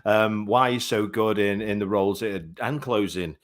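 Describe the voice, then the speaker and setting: A man's voice: lowish voice, moderate pace. A man hosting a live online chat show, chatty and effusive, calling his guests mate. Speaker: show host.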